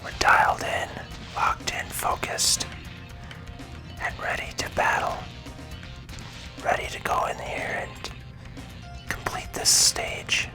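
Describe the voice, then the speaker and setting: An ASMR gaming host whispering soothing, encouraging commentary to viewers in a breathy voice, close to the microphone. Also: takes it slowly, long pauses, sharp tone, soft background music.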